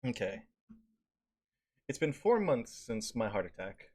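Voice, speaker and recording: A man's voice: mid-range voice, big pitch swings, measured pace, darkish tone, breathy edge. A male streamer reading a visual novel aloud with casual asides, stumbling over words and swearing casually.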